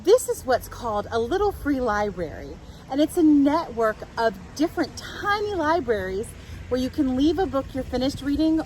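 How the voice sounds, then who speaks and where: A woman hosting a children's storytime outdoors, telling things in simple, deliberate phrases for small children. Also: on the high side, low-quality recording. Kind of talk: storytelling and guided talk for young children. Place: outdoors in a public park. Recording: outdoor park with faint background noise.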